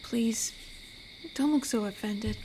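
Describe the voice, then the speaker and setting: A woman's voice: fairly high pitch, mellow, a little hiss or hum, low-quality recording. A woman playing an amiable, teasing werewolf who protects a forest, speaking in an intimate, conversational roleplay drama. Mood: curious, teasing. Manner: unhurried, teasing, conversational with pauses. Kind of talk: roleplay audio drama, intimate one-to-one address.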